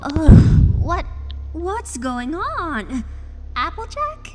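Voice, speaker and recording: A woman's voice: extremely high pitch, sing-song, a little mellow, a little hiss or hum, full character voice. A woman voicing a dazed, confused young female cartoon pony in a fan fiction audio drama. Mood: groggy, confused. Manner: groggy, slow, drawn-out.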